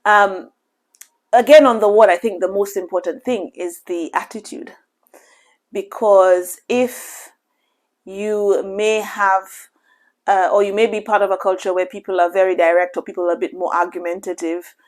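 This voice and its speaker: A woman from Lucia, a doctor giving measured, reassuring career advice in a casual vlog, often saying "you know.". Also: mid-range voice, steady tone.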